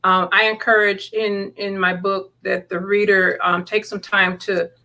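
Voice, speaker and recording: Female guest author, bright clear-cut tone, medium pitch, reflective and sincere conversational interview answers. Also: flat delivery, a little slow.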